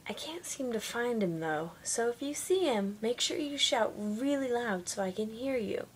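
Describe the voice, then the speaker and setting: A woman's voice: on the high side, expressive, moderate pace, pitched above the performer's own voice, close to an everyday voice. A woman playing an exaggerated, playful pantomime presenter who questions her class as if at a children's show, in a noisy room. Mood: bright, theatrical.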